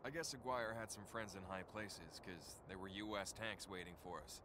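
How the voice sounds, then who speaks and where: Guy as a wry soldier telling the story, deep voice, understated, vehicle noise behind. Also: normal speed, natural voice. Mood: wry, reflective. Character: low-key male soldier recounting a mission. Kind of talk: game cutscene narration.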